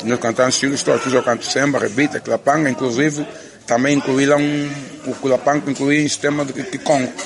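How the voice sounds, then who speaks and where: A man's voice: mid-range voice, level tone, a little fast, creaky. A man with an Angolan Portuguese accent, a musician answering interview questions in an earnest, reflective way, restarting phrases often. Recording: crowd noise behind.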